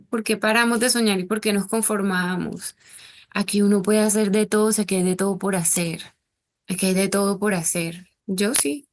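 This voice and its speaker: A Colombian woman speaking as an interview guest, earnest and reflective as she talks. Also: medium pitch. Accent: Colombian Spanish. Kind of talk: casual interview conversation.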